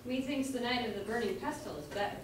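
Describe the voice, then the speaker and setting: A woman's voice: fairly high pitch, fast talker, lightly acted. A woman playing a courteous, somewhat exasperated young actor in an amateur staged reading of an old comedy, speaking politely and formally. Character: exasperated, courteous young player giving prologue. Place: indoor room at a college, far from mic. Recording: distant echoey room, faint noise.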